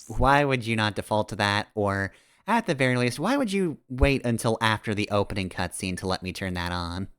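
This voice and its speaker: Wry male game streamer with lively intonation. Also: lowish voice, normal speed, clear, resonant tone. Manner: rambling, analytical, wry. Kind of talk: casual live gameplay commentary. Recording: game music behind the voice. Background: video game music.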